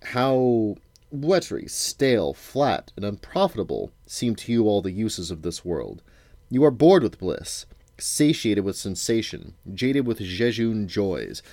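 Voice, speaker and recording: A man's voice: on the low side, big pitch swings, a little slow, darkish tone, no room sound. A man voicing an eager, desperate magician in a storybook, with a florid, theatrical, declamatory manner. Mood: grandly persuasive.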